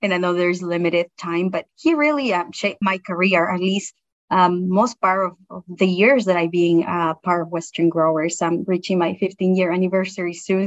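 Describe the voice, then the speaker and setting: A woman's voice: fairly low pitch, lo-fi sound. A middle-aged woman with a non-native English accent, speaking earnestly and appreciatively as a guest in a reflective interview. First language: not English. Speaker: interview guest, produce industry science manager.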